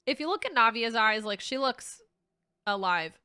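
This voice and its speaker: Casting: young female game streamer, bright forceful voice, lively pitch swings, jokey, sweary reaction commentary. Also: normal speed, clear, resonant tone. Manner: irreverent, jokey, slangy, sweary. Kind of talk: casual playthrough reaction commentary.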